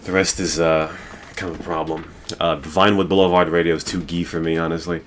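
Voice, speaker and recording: A man's voice: low voice, flat delivery, brisk, a little hiss or hum. A male podcast host ranting in a rambling, profane and emphatic way. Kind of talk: casual podcast rant.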